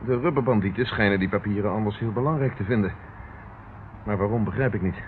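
An actor in a radio drama playing a calm, methodical police inspector with a businesslike, questioning manner. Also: male voice, on the low side, quick pace, close to an everyday voice. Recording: tinny, noisy old radio recording.

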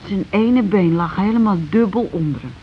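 A muffled old radio play recording with some noise carries the voice of a shaken housewife, played by an actress. She is working-class and plain-spoken. Her lines come haltingly, with repeats and broken-off starts. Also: medium pitch, close to an everyday voice. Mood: flustered, explaining. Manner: halting, repetitive, falters mid-sentence. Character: shaken working-class housewife circling around grim news. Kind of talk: naturalistic radio play dialogue.

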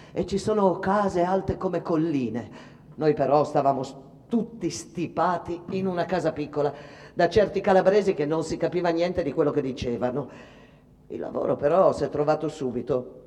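A woman's voice: low voice, dark tone. A poor immigrant mother, weary, scolding and superstitious. She tells her story in a rustic, emphatic, theatrical radio-play manner. The sound rings as in a large hall. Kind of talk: dramatic theatrical monologue for radio.

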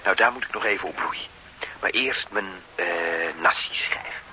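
A man's voice: lowish voice, moderate pace, pushed and bright, low-quality recording. An actor playing a knowing former policeman who explains things patiently, with frequent tag questions, in a radio drama. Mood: explaining.

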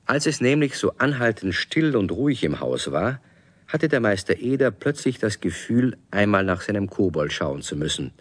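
A man's voice: fairly low pitch, big pitch swings, normal speed, breathy edge, no echo. A man narrating a children's radio play, speaking expressively and directly to young listeners as a friendly storyteller. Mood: storytelling.

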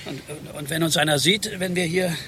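A man's voice: on the high side, fairly quick, sharp tone, slight background noise. An actor playing a nervous, easily flustered programmer in a radio play, stammering and hesitating as he talks. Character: nervous, easily flustered male programmer, guilty secret smoker. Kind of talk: German radio play acting.

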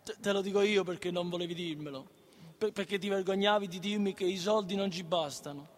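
A man's voice: high-pitched, a little fast, natural voice. An actor playing a naive, eager schoolboy in a radio play, with a Neapolitan accent, stammering and repeating his words insistently. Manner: childlike, insistent, excitable. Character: eager, naive, chattering schoolboy son of a struggling mother. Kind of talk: radio theatre acting. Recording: reverberant hall.

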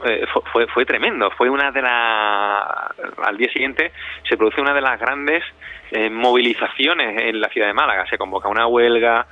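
A man's voice: medium pitch, muffled recording. A man answers as an interview guest, searching for words and repeating himself as he explains. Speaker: interview guest, audio fiction director.

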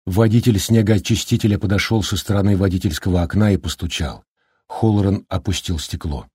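A man narrating an audiobook in a low, dark voice with a slight husky grain. His delivery is even and measured, read into a close studio mic with a dry, dead sound. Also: dry recording. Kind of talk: scripted narration.

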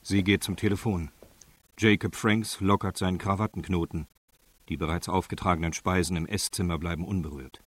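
A man reading scripted narration for a radio drama in a factual, measured way. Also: low voice, fairly even intonation, a bit bright, dry recording.

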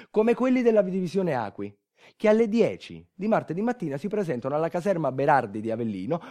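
A man's voice: on the high side, warm tone. A man with a southern Italian accent performing a theatrical narrative monologue, colloquial, emphatic and urgent. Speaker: solo stage actor narrating a monologue. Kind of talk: dramatic theatrical storytelling, radio monologue.

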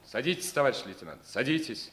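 Guy playing a fatherly army colonel, jovial but in charge, old soviet radio drama, medium pitch. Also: big pitch swings, quick pace, heavy background noise, lightly acted. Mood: commanding, fatherly. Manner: hearty, authoritative, avuncular. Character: fatherly commanding lieutenant colonel, jovial Soviet regiment commander. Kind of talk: 1950s Soviet stage radio drama acting.

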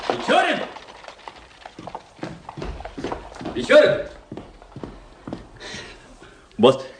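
An actor playing a vain, excitable young officer in a radio drama, theatrical, exuberant and pleased with himself, naively in love. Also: male voice, extremely high pitch, normal speed, heavily acted. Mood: elated. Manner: theatrical, exuberant, self-satisfied. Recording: roomy, echoing radio play studio.

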